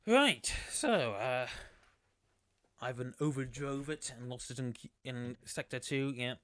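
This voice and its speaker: A man's voice: mid-range voice, lively intonation, unhurried, a bit bright, no room sound. A man commentating a sim racing league on a live stream, chatty and rambling, losing his voice. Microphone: desktop microphone.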